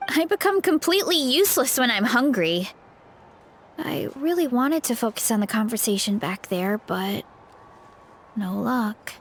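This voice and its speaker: A woman's voice: on the high side, animated, measured pace, a little hiss or hum. A woman voicing a sweet, polite young woman with a hearty appetite in a video game, earnest and apologetic in manner. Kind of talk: animated video game voice acting.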